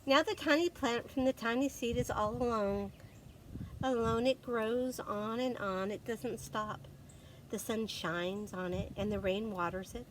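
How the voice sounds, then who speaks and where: A woman storyteller reading a picture book aloud to young children, expressive and dramatic, with deliberate pauses and emphasis. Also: on the high side, a little hiss or hum, poor audio. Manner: expressive, dramatic pauses, gasps and exclamations for effect.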